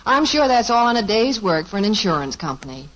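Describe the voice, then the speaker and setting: An actress playing a calm, sensible mother soothing her children, in 1950s radio sitcom acting. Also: medium pitch, fairly quick. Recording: dull old radio recording.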